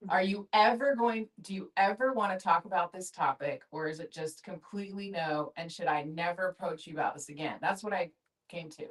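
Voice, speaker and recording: A woman's voice: lowish voice, fairly quick. A middle-aged woman chatting casually and candidly in a group discussion, in an effusive, informal way. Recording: distant room microphone.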